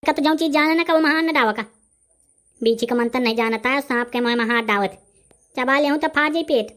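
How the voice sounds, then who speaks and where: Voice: high voice, a little fast, a bit bright. An actor with a Bundelkhandi accent voicing a brash, argumentative village man haggling in a comedy cartoon. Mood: brash, impatient.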